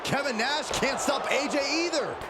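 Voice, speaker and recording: A man's voice: extremely high pitch, lively intonation, moderate pace. An actor playing a judgmental male color commentator in a wrestling video game. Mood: disapproving. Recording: loud arena noise and thuds.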